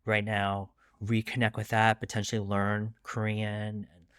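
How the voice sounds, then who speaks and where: Low-pitched middle-aged man, dry close sound. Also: completely flat delivery, a little slow, fairly bright tone, smooth, dry recording. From Long Island, New York. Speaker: guest author. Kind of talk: candid conversational interview.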